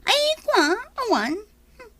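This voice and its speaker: An actress playing a nosy, scheming busybody in a radio drama, with a husky voice and a prying manner. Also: female voice, very high-pitched, huge pitch swings, unhurried, full character voice. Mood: scheming, evasive. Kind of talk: Ilocano radio drama acting.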